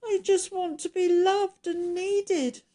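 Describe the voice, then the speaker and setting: Woman voicing a high-pitched, tearful lonely beast. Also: flat delivery, slow pace, dark tone. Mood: tearful, pleading. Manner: sobbing, pleading delivery. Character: lonely, tearful, misunderstood male beast creature. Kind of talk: children's storybook character voice.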